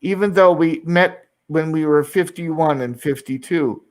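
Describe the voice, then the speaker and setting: A man's voice: a little high, unhurried. A man in his sixties, an English teacher, chatting casually in a rambling, reflective, self-deprecating way. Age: 60s. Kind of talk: casual group chat.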